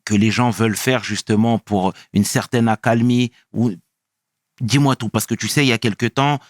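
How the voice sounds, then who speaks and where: A man's voice: medium pitch, fairly even intonation, moderate pace, dry recording, clean studio sound. A man hosting an interview, asking questions in a casual, informal way. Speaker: host.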